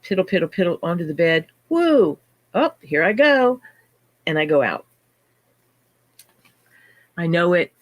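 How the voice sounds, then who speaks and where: A woman's voice: fairly low pitch, sing-song, measured pace, long pauses, dry recording, muffled recording. Scratchy-voiced woman hosting a livestream. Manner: chatty, greets viewers by name mid-story. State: scratchy voice from illness. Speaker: livestream host. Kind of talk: casual livestream chat.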